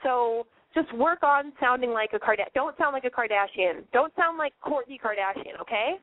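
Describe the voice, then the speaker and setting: Young woman radio host, nasal with a cold, valley-girl talk, bright higher voice, tinny phone line. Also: expressive, normal speed. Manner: valley-girl speech, blunt and profane. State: has a cold. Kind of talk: casual call-in radio chat.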